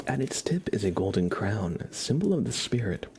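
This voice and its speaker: A man hosting a casual ASMR livestream, chatting and reading cards in a rambling, soft-spoken way that drifts into whispering. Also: on the low side, big pitch swings, normal speed. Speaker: livestream host. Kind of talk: casual live ASMR chat with tarot card readings. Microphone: headset. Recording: clear background noise.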